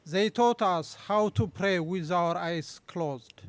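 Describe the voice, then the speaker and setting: A man with an Ethiopian accent, a native Amharic speaker, presenting his poetry slowly and deliberately at a live reading. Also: sits high, no room sound. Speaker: poet reading own work.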